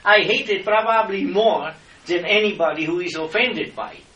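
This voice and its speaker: Middle-aged Czech-accented man, impassioned and lecturing, slightly high, recorded far off in an echoey room. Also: normal speed, pushed and bright, lo-fi sound. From Czech Republic. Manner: impassioned, lecturing, non-native grammar. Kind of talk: heated argument with officials. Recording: echoey room, mic at a distance.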